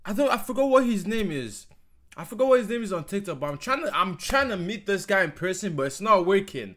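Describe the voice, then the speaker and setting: Young male reaction host, lively delivery, slightly high register. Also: rapid-fire. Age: young adult. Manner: excitable, talks to viewers directly, says bro and guys a lot. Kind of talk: casual reaction commentary. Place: small bedroom with red lighting. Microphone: over-ear headset.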